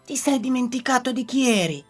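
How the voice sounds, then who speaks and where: A woman voicing a small, kind and brave male chameleon in a bedtime story, in a high gentle voice. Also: expressive, a little fast, faint music behind. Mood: earnest.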